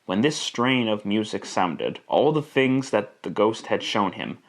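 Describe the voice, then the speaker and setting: A man's voice: fairly low pitch, very animated, a little slow. A man narrating a literary story in classic radio style, with a theatrical, relishing delivery.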